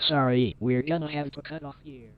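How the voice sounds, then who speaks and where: A man's voice: fairly high pitch, steady tone, brisk, lo-fi sound. A man giving a scripted breaking news report as a reporter. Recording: loud music behind the voice. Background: loud music.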